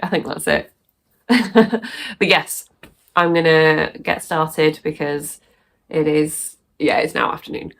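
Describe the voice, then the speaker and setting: A woman's voice: low voice, slow, creaky, a bit bright. A woman vlogging casually about her crafts, chatty and rambling, sounding bunged up and sniffly. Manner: chatty and rambling, laughs often. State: stuffy nose from sneezing. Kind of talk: casual chat to camera.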